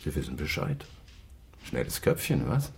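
An actor playing a dry, sardonic small-town police lieutenant in a radio crime drama. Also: male voice, a bass voice, sing-song, normal speed, gravelly, a little hiss or hum, heavily acted. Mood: wry, guarded.

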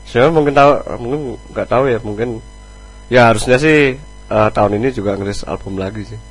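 A man's voice: fairly low pitch, a little fast, husky, soft background music, low-quality recording. A man chatting casually as a band musician being interviewed, hesitant and thinking aloud.

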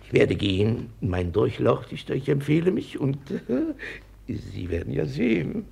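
A man's voice: mid-range voice, a little slow, full character voice. An actor playing Lebedev, a fawning, sly male gossip, in a 1950s radio play. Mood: sly mocking. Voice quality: gravelly, husky, oily flattering.

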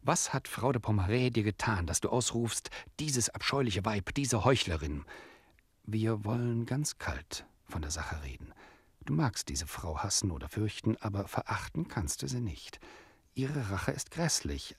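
Teasing radio-play narrator who argues with the listener. Lowish, husky male voice, faint music. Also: animated, normal speed, no room sound. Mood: teasing, persuasive. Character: teasing, argumentative storyteller addressing his listener.

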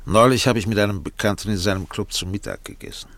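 An actor playing a worldly, self-assured defence barrister who talks in a dry, patronising, measured way in a German radio drama. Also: male voice, lowish voice, a bit bright, dry recording. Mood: wry.

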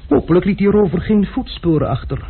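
An actor playing a haughty, quick-tempered male envoy who speaks with irony, in a radio play. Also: high-pitched, expressive. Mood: ironic. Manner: ironic, haughty. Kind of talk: radio drama acting. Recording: tinny lo-fi radio play recording with faint hiss.

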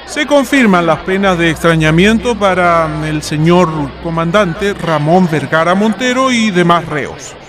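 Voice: medium pitch, lively intonation, a little slow, hardly any pauses, crowd noise, close to an everyday voice. An actor playing a stern military judge who solemnly reads out a verdict in a formal radio drama. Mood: solemn, official. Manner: solemn, declamatory.

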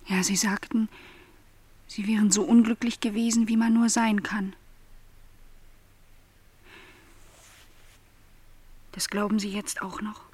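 An actress as an earnest, breathy young housemaid. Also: female voice, medium pitch, level tone, normal speed, close to an everyday voice. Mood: tearful, fragile. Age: young adult. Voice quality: breathy, often near a whisper. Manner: soft, insistent questioning. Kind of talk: literary radio drama dialogue.

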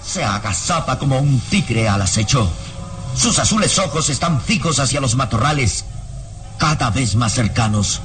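A man narrating a vintage radio drama from a script with dramatic, suspenseful and deliberate phrasing. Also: mid-range voice, animated. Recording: radio serial with music behind.

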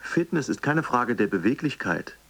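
A man's voice: mid-range voice, big pitch swings, normal speed, a bit bright. An actor playing an exhortative male fitness trainer, emphatically leading slogans to be repeated, in a radio play. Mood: exhorting.

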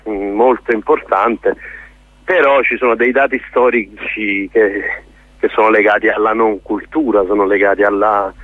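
A man's voice: fairly low pitch, dry recording. A man speaking as a theatre director in a spontaneous radio interview, earnest and often hesitant as he searches for words. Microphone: telephone. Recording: narrow tinny phone line.

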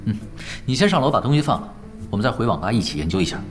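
Composed, dry-witted gaming strategist. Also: male voice, fairly low pitch, very animated, very fast, faint music behind. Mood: dry, unbothered. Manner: matter-of-fact, dry, explanatory. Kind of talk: Chinese radio drama acting.

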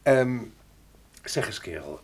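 An actor playing a pompous, talkative intellectual in a radio play, with affected, cultured phrasing and a husky voice. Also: male voice, on the low side, very animated, takes it slowly, darkish tone, exaggerated voice. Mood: confiding. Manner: pompous, affected, hesitant hums.

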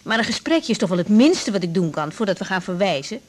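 A woman's voice: medium pitch, big pitch swings, quick pace. An actress playing a young woman doctor, composed, polite and slightly hesitant, in a naturalistic radio drama. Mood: tactful, professional. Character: young female doctor, tactful, accommodating, composed.

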